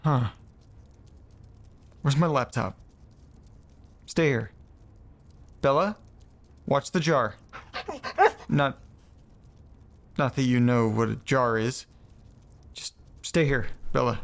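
A man acting in an audio drama as an uneasy guy talking to himself, halting and muttering with some swearing. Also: mid-range voice, gravelly. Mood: distracted, uneasy. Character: startled, uneasy man alone, muttering to himself and his pet. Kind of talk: naturalistic audio drama monologue.

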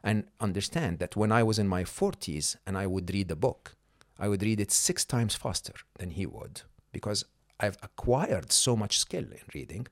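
A man's voice: fairly low pitch, moderate pace, no echo, clean studio sound. A middle-aged man whose first language is not English, a podcast guest explaining ideas emphatically and often checking in with the listener. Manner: emphatic, checks in with "okay?" and "hmm?". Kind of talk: conversational coaching talk.

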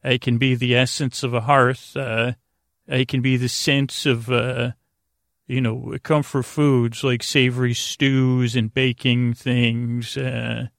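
A man with a creaky voice hosting a bedtime podcast, meandering and halting through a rambling monologue with pauses and tangents. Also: medium pitch, flat delivery, a bit bright, no echo, studio quality. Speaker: podcast host. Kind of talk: rambling bedtime monologue to help listeners sleep.

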